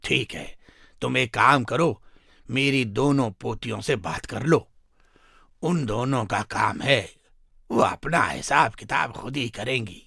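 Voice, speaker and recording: Man voicing a firm, gravelly old grandfather. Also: medium pitch, lively intonation, takes it slowly, sharp tone, no echo, clean studio sound, voice pushed down, heavily acted. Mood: firm, agreeable.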